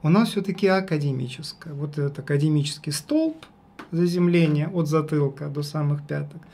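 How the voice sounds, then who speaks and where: A man with a high tenor voice, talking casually as an interview guest, haltingly and repeating his phrases for emphasis. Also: gravelly, warm tone. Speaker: guest, singer and vocal teacher. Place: small radio studio. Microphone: studio condenser microphone.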